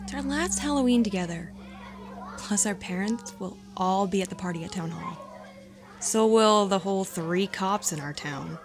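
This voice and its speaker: A woman playing an excited, adventurous teenage girl in a horror story, dramatic and coaxing. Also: mid-range voice, normal speed, slight background noise, lightly acted.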